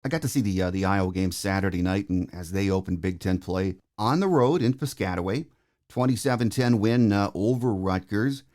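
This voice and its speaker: A man's voice: on the low side, brisk, darkish tone. An American man hosting a sports podcast, delivering commentary and interview questions. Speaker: podcast host.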